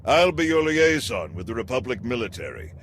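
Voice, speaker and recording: An actor playing a composed, dutiful general giving formal military briefings in a science fiction video game. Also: male voice, mid-range voice, very animated, brisk, a bit bright, a little hiss or hum. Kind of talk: video game cutscene acting. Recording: clear music under the voice.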